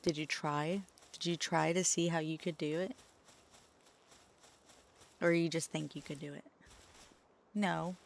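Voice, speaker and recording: A woman's voice: low-pitched, fairly even intonation, measured pace, gravelly, pauses often. A woman VTuber streamer chatting casually with her chat, swearing often and poking fun at herself. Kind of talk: casual livestream chat, answering chat.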